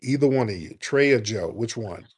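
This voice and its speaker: A man hosting a call-in show, talking in a blunt, profane and animated way and sometimes mocking people in a high put-on voice. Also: moderate pace, breathy edge. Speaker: host.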